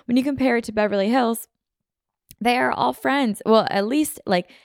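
A woman's voice: fairly high pitch, moderate pace, gravelly, dry recording, clean studio sound. A young American woman from the suburbs of Philadelphia hosting a podcast, rambling casually with lots of "like", a little sniffly from a runny nose. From Philadelphia suburbs, USA. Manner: rambling, heavy use of "like", self-interrupting. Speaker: podcast host. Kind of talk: casual chatty podcast monologue. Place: apartment room. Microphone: podcast mic on video.

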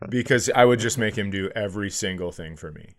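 A man's voice: on the low side, fast talker. A man co-hosting a comedy podcast, musing through hypotheticals in casual, self-deprecating chat with some easy swearing.

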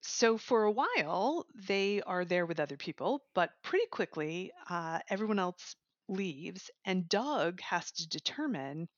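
A woman's voice: lowish voice, big pitch swings, unhurried, few pauses, dry recording. A woman reviewer in casual chat, summarizing a book enthusiastically and laughing now and then. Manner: enthusiastic, chatty, laughs while talking. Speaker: audiobook reviewer, guest. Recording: dry, slightly dull lo-fi sound.

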